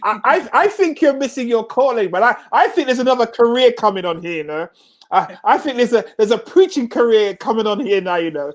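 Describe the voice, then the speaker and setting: Host, male, middle-aged, raised in Jamaica; high-pitched, halting restarts, punchy repeated slogans. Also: animated. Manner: stammering repeats, laughs often, emphatic slogans. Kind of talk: casual chat.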